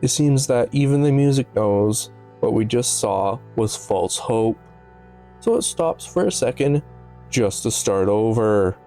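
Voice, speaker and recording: A man's voice: mid-range voice, expressive, measured pace, dark tone. A man giving casual, analytical video commentary in a musing, slightly stumbling way, with offhand asides. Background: background music.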